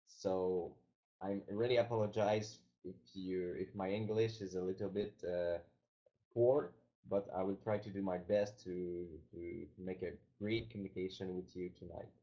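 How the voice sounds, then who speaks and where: A man from Quebec whose first language is French, presenting a business webinar in halting speech with many fillers and restarts. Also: low voice, fairly even intonation, muffled recording. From Quebec, Canada.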